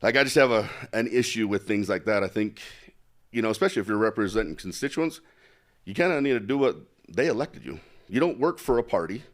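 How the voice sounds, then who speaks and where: A man's voice: lowish voice, animated, fairly quick. A middle-aged man from Utah, a candidate for office, talking bluntly in a casual interview with many fillers. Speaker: congressional candidate.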